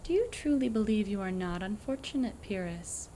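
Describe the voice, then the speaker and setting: A woman's voice: mid-range voice, lively intonation, moderate pace, dark tone, a little hiss or hum, lightly acted, low-quality recording. Woman acting haughty, cryptic ancient prophetess. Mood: haughty, stern. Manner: imperious, formal, archaic wording. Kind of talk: audio drama acting.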